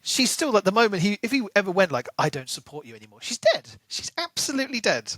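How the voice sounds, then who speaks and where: A man co-hosting a podcast, talking through a book casually and animatedly, often thinking aloud in halting bursts. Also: sits high, sing-song, fairly bright tone, no echo. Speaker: podcast co-host.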